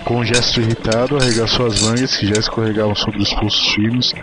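A man's voice: fairly low pitch, level tone, fast. A Brazilian man giving a dramatic reading of a short story as its narrator. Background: music.

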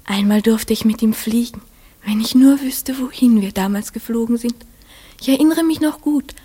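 An actress playing a dreamy, trusting young girl in a radio play, recounting and acting out memories in a soft, breathy voice. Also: on the high side, normal speed. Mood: wistful remembering.

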